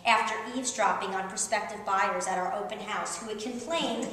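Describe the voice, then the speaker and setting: A middle-aged woman author reading a humorous personal essay aloud to an audience, with animated, wry storyteller emphasis. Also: medium pitch, a little fast, a crowd in the background. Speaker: author reading at a book tour event. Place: reverberant indoor event room. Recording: very echoey room, distant, faint background noise.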